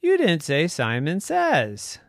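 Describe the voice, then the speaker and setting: A man's voice: fairly high pitch, wildly swooping pitch, takes it slowly, vocal fry, dry recording, clean studio sound, voice pushed up, exaggerated voice. A man voicing a small, literal-minded storybook robot that talks mostly in beeps and boops. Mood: literal, obliging. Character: small beeping robot, chirpy and stubbornly literal.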